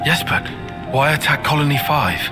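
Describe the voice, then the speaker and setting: An easygoing, modest soldier acted by a man in a video game. His voice sits fairly high and his delivery is breezy, with music clearly playing behind him. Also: expressive, normal speed, lightly acted. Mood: concerned. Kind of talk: video game cutscene voice acting.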